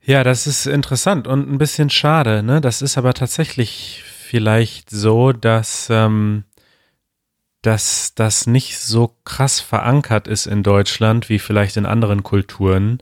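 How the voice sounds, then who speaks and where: Low-voiced man, easygoing podcast co-host. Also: fairly even intonation, unhurried, dry recording, clean studio sound. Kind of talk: casual chat.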